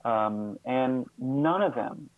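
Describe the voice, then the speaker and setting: A man's voice: mid-range voice, expressive, slow, no echo. A man speaking as a guest expert in an interview, reflective and earnest, often repeating words as he thinks. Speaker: guest author and social scientist. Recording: muffled phone-like narrow-band line.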